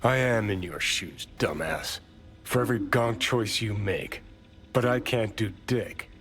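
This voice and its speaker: An actor playing a jaded, foul-mouthed rebel ex-soldier in a video game, talking bluntly and sardonically. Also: male voice, lowish voice, measured pace, natural voice. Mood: frustrated, candid. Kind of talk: cinematic video game acting.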